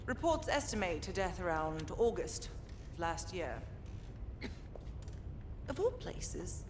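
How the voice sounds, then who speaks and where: A woman playing a cool, quietly menacing intelligence agent, measured and wry, in a video game. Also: fairly low pitch, very animated, noisy background, full character voice. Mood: probing, suspicious. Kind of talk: video game cutscene acting. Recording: music behind.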